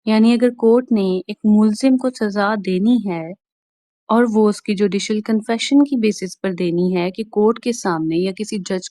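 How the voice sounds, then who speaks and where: A woman with a Pakistani accent giving an explanatory law lecture in a deliberate, instructional way, restating each point plainly. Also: medium pitch, mellow, no echo, clean studio sound. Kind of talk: explanatory lecture mixing Urdu and English.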